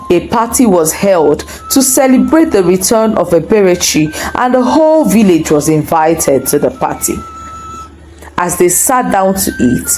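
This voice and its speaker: A woman narrating a scripted folktale in an expressive, deliberate storytelling manner. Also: medium pitch, poor audio.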